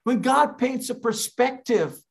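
A man from Guyana, an evangelist, preaching a sermon in a slow, deliberate way with emphatic rises. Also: extremely high pitch, expressive, vocal fry.

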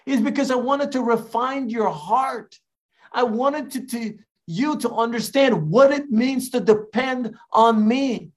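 A man from Guyana, an evangelist, preaching a sermon in a slow, deliberate way with emphatic rises. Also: very high-pitched.

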